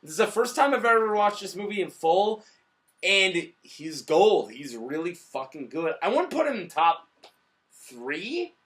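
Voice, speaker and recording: A man hosting a casual review chat while clearly drunk. His voice is high and bright, and he talks in an excitable, rambling, emphatic way with plenty of swearing. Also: a little slow. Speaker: movie review host. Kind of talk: casual profane movie chat.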